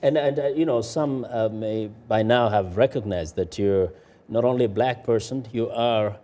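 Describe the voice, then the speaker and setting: A man's voice: mid-range voice, normal speed, warm tone. A man hosting a broadcast interview, speaking in a formal, courteous manner. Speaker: TV interview host.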